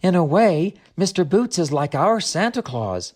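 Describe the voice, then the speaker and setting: Man playing an eager young storybook girl, lively pitch. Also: measured pace, exaggerated voice. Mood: curious. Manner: eager, questioning. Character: Lizzie, curious, excitable young girl visiting a storybook land. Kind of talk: audiobook character dialogue.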